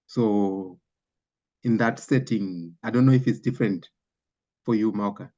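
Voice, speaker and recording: A man's voice: lowish voice, a little slow, dark tone. A man whose first language is Shona, thinking aloud in a reflective way in a panel conversation. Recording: dull lo-fi sound.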